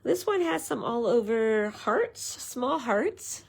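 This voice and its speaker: Chatty craft demonstrator, woman, hoarse with a head cold, gravelly. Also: on the high side, very animated, takes it slowly, slight background noise. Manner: chatty, greets viewers, many fillers. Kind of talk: casual live craft tutorial.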